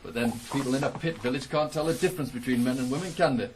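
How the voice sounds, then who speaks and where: Cheeky bantering farmhand for a radio play male actor, middling pitch, faint noise on the broadcast. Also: quick pace, natural voice, low-quality recording. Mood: teasing. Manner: teasing, bantering. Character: cheeky, teasing farm workmate, male. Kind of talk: 1980s radio drama acting.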